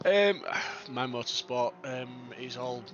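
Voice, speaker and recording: A man's voice: mid-range voice, animated, slow pace, lo-fi sound, background noise. A middle-aged man co-commentating on a sim racing stream, rambling with many "um"s.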